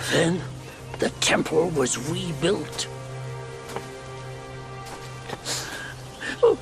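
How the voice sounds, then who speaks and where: A man's voice: sits high, full character voice. A man voicing a wry, kindly old mystical guide in a video game, theatrical and musing. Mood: wistful. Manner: theatrical, musing, sing-song.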